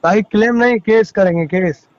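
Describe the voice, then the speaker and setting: Male caller, Bhojpuri accent, high-pitched, admonishing in an argumentative conversation over a muffled phone recording. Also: normal speed. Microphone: phone call.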